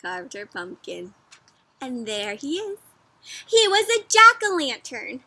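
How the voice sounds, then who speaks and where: High, bright-voiced woman reading to kids. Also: takes it slowly, breathy edge, no echo. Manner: animated, teacherly, prompting young listeners with questions. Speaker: read-aloud host, teacher for young children. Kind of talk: interactive storybook read-aloud for kids.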